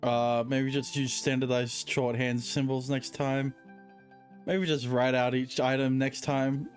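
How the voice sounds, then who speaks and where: A man's voice: mid-range voice, little pitch movement, measured pace. A man streaming a game casually, reading dialogue choices aloud and adding offhand, skeptical asides. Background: game music.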